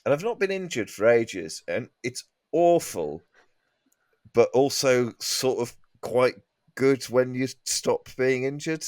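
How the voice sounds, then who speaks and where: A man telling comic anecdotes as a podcast guest in a halting, rambling way. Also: mid-range voice, animated, darkish tone, no room sound, studio quality.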